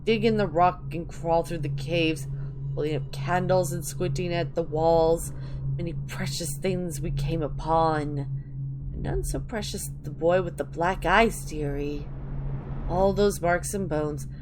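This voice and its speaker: A woman voicing an eerie, wistful old granny witch who rambles slowly in a dramatic reading of video game lore. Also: on the low side, noisy background, lightly acted. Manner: slow, wistful rambling with drawn-out endearments.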